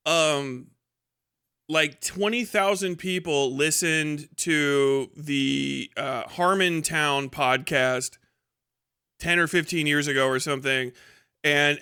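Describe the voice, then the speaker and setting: A man giving casual, off-the-cuff livestream commentary in a halting, explanatory way, with some swearing. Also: fairly high pitch, bright, forceful tone, no echo, studio quality. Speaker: live streamer reacting to a video.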